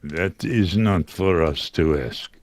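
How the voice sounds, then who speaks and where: Cryptic game mystic, slow delivery, fairly low voice, calm, solemn, enigmatic. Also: male voice, no room sound. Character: calm, cryptic male mystic speaking in riddles. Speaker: voice actor in a puzzle adventure game.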